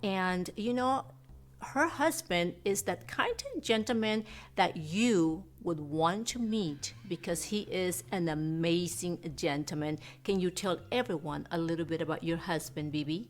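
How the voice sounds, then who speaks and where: Gushing woman TV host, low voice. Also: a little slow. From Mexico. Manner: effusive, gushing praise. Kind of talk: studio interview. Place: TV studio.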